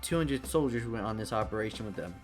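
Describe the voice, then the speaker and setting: A man narrating a fan-made action story in an even reading voice. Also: lowish voice, fast talker, soft background music.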